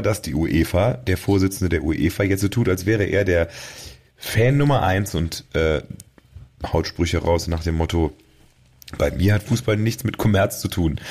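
Casting: male podcast co-host, lowish and husky, casual and playful in conversation. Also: a little mellow. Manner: joking, playful. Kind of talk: casual podcast chat among friends.

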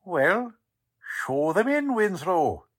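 An actor playing a blunt, slangy, wisecracking police lieutenant in a 1940s style radio drama. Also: male voice, sits high, sing-song, slow, breathy edge, heavily acted. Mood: brisk, sardonic.

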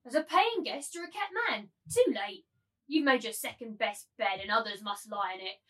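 A woman's voice: on the high side, lively intonation, moderate pace, fairly bright tone. A woman acting a mocking, bullying brothel madam in a dramatised novel reading, with a cutting, taunting delivery. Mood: gleeful mockery. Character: scornful bullying brothel madam turned mannish tyrant.

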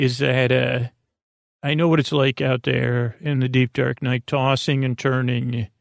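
A man with a creaky voice hosting a bedtime podcast, rambling in a halting, soothing way full of tangents and trailing thoughts. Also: medium pitch, dry recording, clean studio sound. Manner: soothing, lulling. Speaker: podcast host.

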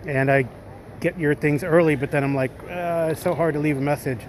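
A man's voice: a little high, steady tone, fairly quick, slight background noise, rough recording. A man leaving a casual, rambling voice message as a caller, speaking in stop-and-start phrases.

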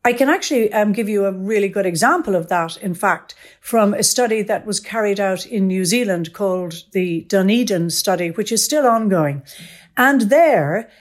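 A woman professor explains science as a guest in an interview. Her voice sits at a middle pitch, and she speaks in an articulate, enthusiastic way. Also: lively intonation, normal speed.

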